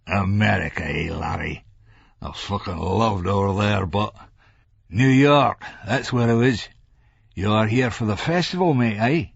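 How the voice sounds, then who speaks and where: Man acting a husky, menacing Scottish hard man. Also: on the low side, measured pace, dry recording, dull audio, voice pushed up, exaggerated voice. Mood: pushy, overfamiliar. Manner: pushy, scornful, coldly insistent.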